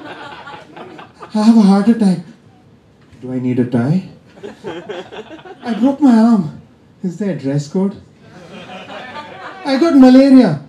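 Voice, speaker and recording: A man's voice: sits high, big pitch swings, slow, pauses often, warm tone. Indian man doing stand-up, roomy club. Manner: dry, teasing delivery with crowd work. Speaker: stand-up comedian. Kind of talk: stand-up comedy set. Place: comedy club stage. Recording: roomy live club sound.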